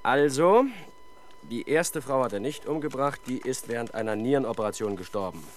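A man's voice: mid-range voice, normal speed, slight background noise, natural voice. A man playing a dutiful, defensive subordinate policeman in a 1970s German radio crime play. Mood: matter-of-fact.

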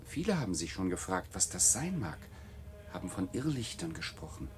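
A monk glazier in a radio drama. He has a lowish voice and tells his eerie tale in an earnest storytelling way. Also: very animated, moderate pace, soft background music. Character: monk glazier gravely reporting eerie rumours.

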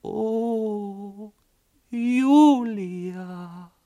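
A performer playing a languishing, solemn reciter of a florid love ode in a radio play, with drawn-out, rapturous declamation. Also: medium pitch, dark tone, no echo, clean studio sound.

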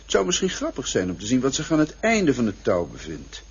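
An actor in an absurdist radio play, playing an evasive, self-effacing traveller with a formal, contrary manner. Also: male voice, medium pitch, huge pitch swings, fairly quick, slightly rough, heavily acted. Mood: dryly polite. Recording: muffled old radio drama recording.

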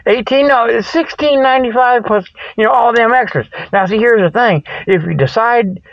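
A man's voice: very high voice, moderate pace, no echo. A man hosting a comic mock report in a folksy, rambling, colloquial way that often loses its thread, on lo-fi audio. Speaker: host of a comedic mock news report. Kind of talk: rambling comic monologue, spoof sports and ad report.

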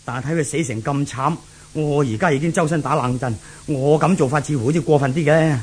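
An actor playing an uneasy crime boss with a Hong Kong Cantonese accent, agitated and sighing, in a 1970s radio drama. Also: male voice, a little high, a little fast, darkish tone, breathy edge. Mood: shaken. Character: uneasy villainous male boss, cruel but anxious.

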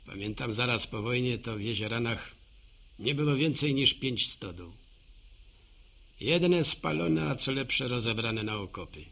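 A folksy country postman. His voice is husky and creaky. He rambles at an unhurried pace, a proud local gossip in a radio play. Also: mid-range voice, expressive, a bit bright, exaggerated voice. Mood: musing, proud. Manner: folksy, rambling, musing aloud to himself and listeners. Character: chatty, homespun old village postman, proud local gossip. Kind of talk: radio drama monologue.

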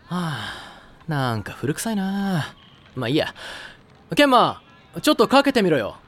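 An actor playing a chatty, wry teenage teammate in a Japanese anime audio drama. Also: male voice, high-pitched, wildly swooping pitch, measured pace, a bit bright, full character voice. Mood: helpful. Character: chatty, wry high school boy teammate.

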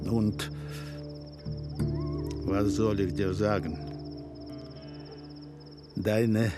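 An actor playing a proud, calm Comanche chief and rancher, speaking in a measured, solemn way in a radio drama. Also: male voice, on the low side, over loud music.

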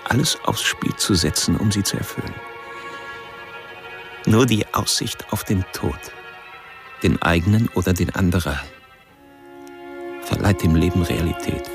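An actor playing a cold-blooded, philosophizing serial killer who narrates a radio crime drama in a deliberate, confiding, coolly pompous way. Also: male voice, low-pitched, gravelly, heavily acted. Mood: chilling, intense. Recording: music under the voice.

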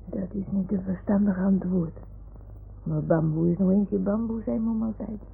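A woman playing a wistful, stubborn little boy in a radio play, speaking with childlike earnestness and a creaky edge. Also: medium pitch, steady tone, normal speed. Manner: childlike, earnest, naive storytelling. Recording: tinny, faintly noisy 1960s-70s radio recording.

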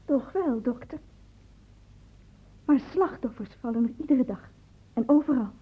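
A woman's voice: high voice, lively intonation, unhurried, slightly rough, exaggerated voice. A woman playing an anxious, guarded and loyal young student in a Dutch radio drama. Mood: guarded, defiant. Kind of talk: Dutch radio play acting. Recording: muffled, phone-like old radio recording.

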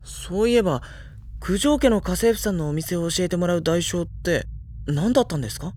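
An actor playing a mild, bookish high school boy with dry retorts, narrating and talking in an audio drama. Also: expressive, fairly quick, darkish tone, a little hiss or hum. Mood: dry, teasing.

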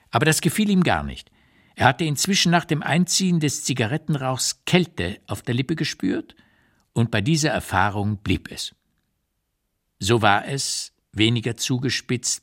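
An actor reading as an elderly male writer who looks back with unsparing candour, in a deliberate, measured literary narration for radio. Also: medium pitch.

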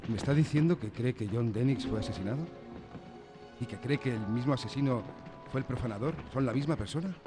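An actor with a Castilian Spanish accent playing a guarded, composed priest who answers tersely, in a radio drama. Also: male voice, mid-range voice, brisk, close to an everyday voice. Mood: defensive, firm. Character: guarded, measured priest and campus psychiatrist. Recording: music under the voice.

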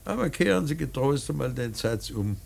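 A man playing a grieving, bitter old painter in a radio drama, speaking in a halting, emphatic, theatrical monologue with a rough, worn voice. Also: mid-range voice, big pitch swings, exaggerated voice. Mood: grave, philosophical. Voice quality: husky, gravelly. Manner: halting, emphatic, swelling from murmurs to outcries. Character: grieving old painter mourning his dead son, bitter, rambling.

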